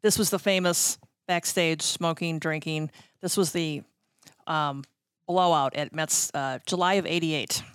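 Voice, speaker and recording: A middle-aged American woman reminiscing casually in a chatty, halting way that often trails off. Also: sits low, fairly even intonation, smooth, no echo, studio quality. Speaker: guest recounting concert backstage stories.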